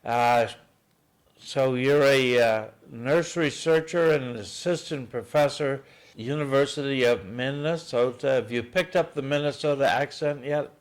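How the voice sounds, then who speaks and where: Male host, casual chat, halting delivery with fillers and dry humor, mid-pitched. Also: clean tone. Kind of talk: casual radio-style interview.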